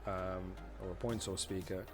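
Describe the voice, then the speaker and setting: A man with a fairly low voice presents a technical lesson. He explains step by step in an informal, conversational manner, as if talking someone through diagrams. Soft music plays faintly behind him. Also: steady tone, normal speed. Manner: informal, conversational, often adds "you know" and "obviously". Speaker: presenter of a tutorial series on PA systems.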